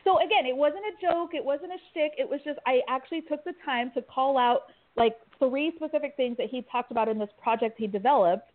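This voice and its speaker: A lively woman talks through a recruiting story, her voice sitting a little high and quick to laugh. The sound comes through thin and tinny, like a phone line. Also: no echo. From Kansas. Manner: animated, chatty, laughs easily. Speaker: tech recruiter guest. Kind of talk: casual podcast chat.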